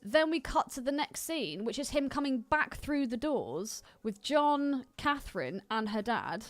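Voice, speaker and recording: Higher-pitched woman, bluntly opinionated. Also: sing-song, unhurried, no echo. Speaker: podcast co-host. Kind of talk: casual film commentary chat.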